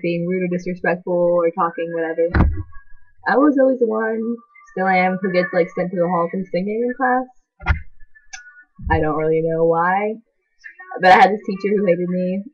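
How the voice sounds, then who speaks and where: A woman's voice: fairly low pitch, lo-fi sound. A teenage girl chatting to camera about herself in a rambling way, filling her talk with "like". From half Chilean, half American. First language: Spanish. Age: teens. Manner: chatty, rambling, constant "like" filler. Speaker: channel co-host introducing herself. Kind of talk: casual talking-to-camera vlog.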